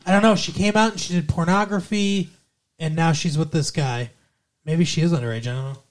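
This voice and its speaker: A man's voice: fairly high pitch, fairly even intonation, fairly quick. A man co-hosting a podcast, casually talking through a film's plot and rambling with frequent restarts. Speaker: podcast co-host. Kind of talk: casual movie review chat.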